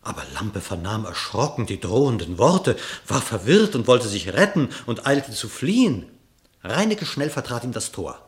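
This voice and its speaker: A male narrator telling a verse tale for a radio play in a measured, expressive, dramatic storytelling style. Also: mid-range voice.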